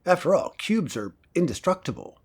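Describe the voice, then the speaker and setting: A man playing a cynical, persuasive professor, lecturing in a measured, didactic way with rhetorical pauses in an audio drama. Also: high voice, sing-song, husky, exaggerated voice. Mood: cynical, assured.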